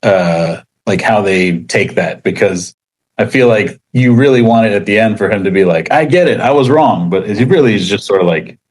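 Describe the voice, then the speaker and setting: A man's voice: fairly low pitch, moderate pace, a little mellow, dry recording. A man speaking as an interview guest, explaining things in a casual, informal and rambling way. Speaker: interview guest, comics writer.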